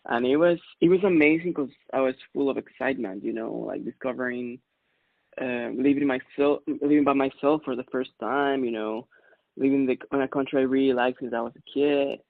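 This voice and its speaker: A man from Ecuador, in his thirties, talks through his story in a loose, easygoing way, full of fillers and quick laughs. His voice has a gravelly edge. The sound is dry and narrow, like a phone line. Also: mid-range voice, no room sound. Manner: relaxed, chatty, laughs often, many fillers. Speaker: guest, music producer. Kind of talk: casual interview answers.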